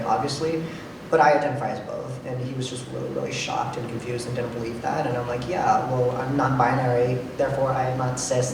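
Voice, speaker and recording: A nonbinary panelist with a masculine-sounding voice tells stories in a hesitant, casual way, full of ums and restarts. The mic is far away in a large, very echoey room. A steady noise runs underneath. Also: medium pitch. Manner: hesitant, many ums and restarts, laughs easily. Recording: roomy, distant mic with noise.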